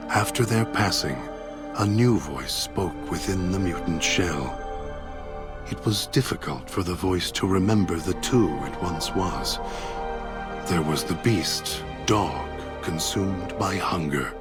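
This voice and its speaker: Solemn game narrator, deep voice, brooding, weighty, music underneath. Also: male voice, takes it slowly. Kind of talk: scripted video game epilogue narration.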